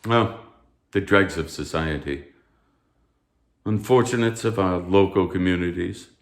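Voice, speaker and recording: An actor playing a stern, pompous anatomy professor, speaking formally and like a lecturer in a theatrical read. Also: male voice, low voice, unhurried, reverberant. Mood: grim, haughty. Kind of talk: theatrical table read of a stage musical.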